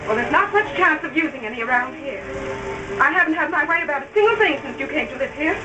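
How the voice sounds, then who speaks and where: Indignant, high-voiced young wife. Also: female voice, lively intonation, quick pace, music in the background, poor audio. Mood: fed up. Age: young adult. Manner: curt, indignant. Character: exasperated young wife standing up to mother-in-law. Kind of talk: 1930s-style radio drama acting.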